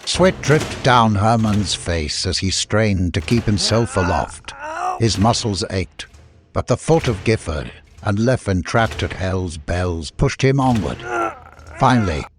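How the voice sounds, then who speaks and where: A man narrates fantasy adventure fiction in a fairly low voice. The reading is scripted and dramatic, in audiobook style. A slight noise sits behind the voice.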